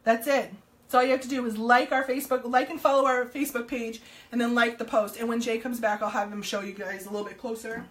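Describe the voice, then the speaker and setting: A slightly hoarse woman, chatting upbeat and loose on a home live stream, full of little ums and hellos to viewers. Her voice sits a little high, and the sound is picked up by a camera across the table. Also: fairly even intonation, quick pace. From New Jersey, US. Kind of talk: casual live-stream sales chat. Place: home room, indoors.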